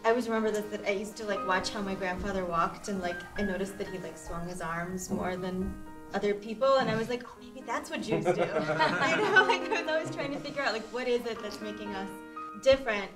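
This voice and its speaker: A woman's voice: mid-range voice, fairly quick. A woman talking chattily in a group conversation, with many "like"s. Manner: chatty, lots of "like". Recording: roomy, distant mic, music.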